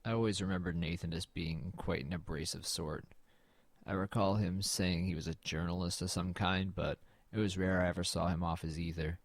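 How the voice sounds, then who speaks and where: Man acting as deep-voiced, brooding melancholy narrator. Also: fairly even intonation, normal speed, dry recording. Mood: subdued, reflective. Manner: reflective, hesitant, understated. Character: brooding, melancholy male freelance artist narrating. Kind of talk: first-person audio drama narration.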